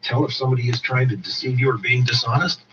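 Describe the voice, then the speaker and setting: A man hosting a radio interview, asking formal, wordy questions in a measured way. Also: mid-range voice, fairly even intonation, over a noisy crowd. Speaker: radio talk show host. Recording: distant, muffled, noisy radio playback.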